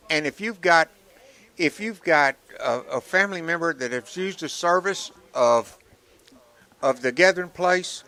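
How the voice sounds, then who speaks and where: A man with a fairly high, bright, forceful voice hosts a live radio telethon. He pitches for donations in a folksy, joking way. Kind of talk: live radio fundraising appeal.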